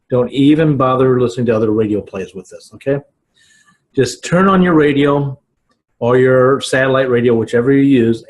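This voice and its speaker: An American man in his forties talks to camera like a writing teacher. His delivery is slow and deliberate, with emphatic words and halting pauses. The pitch sits in a middle male range. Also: darkish tone. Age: 40s. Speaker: video podcast host, writing teacher. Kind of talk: informal instructional talk to camera. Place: small living room at home, indoors.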